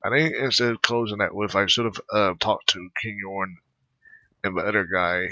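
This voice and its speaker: A man giving casual, rambling, halting commentary over his video game playthrough. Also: fairly low pitch, steady tone, bright, forceful tone, no echo. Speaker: gamer recording a playthrough. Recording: dull, close dry microphone.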